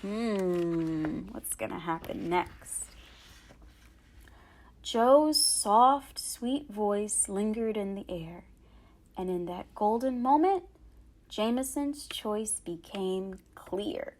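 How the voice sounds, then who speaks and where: A woman storyteller reading a picture book aloud to children, with expressive, dramatic phrasing and careful enunciation. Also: medium pitch, drawn-out words.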